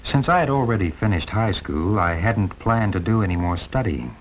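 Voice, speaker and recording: A man's voice: lowish voice, lively intonation, moderate pace, slight background noise, close to an everyday voice. An actor playing an easygoing young American airman, narrating his story in a folksy, wry, conversational way. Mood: casual, reflective. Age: young adult. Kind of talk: first-person 1950s film narration. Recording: dull 1950s film soundtrack with music.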